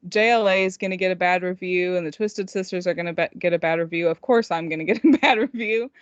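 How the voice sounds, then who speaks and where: A woman's voice: fairly low pitch, fast talker, dry recording. Female indie author co-hosting a podcast, self-deprecating and conversational, with a dead-room, dull lo-fi sound. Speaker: podcast co-host. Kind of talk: casual chat.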